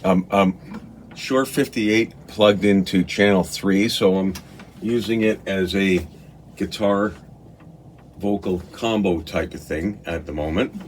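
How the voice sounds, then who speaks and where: A man's voice: low-pitched, slow pace. A man casually reviewing music gear, thinking aloud in a hesitant, unscripted way. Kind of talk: casual unboxing and gear demo. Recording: clearly noisy room recording.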